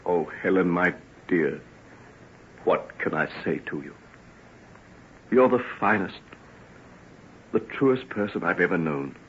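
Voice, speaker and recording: Low-voiced, troubled gentleman doctor, old radio. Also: expressive, slow pace, long pauses. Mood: solemn, grateful. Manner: earnest, theatrical, formal phrasing. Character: troubled, remorseful gentleman doctor proposing marriage. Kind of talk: old-time radio drama acting. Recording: muffled, noisy old radio recording.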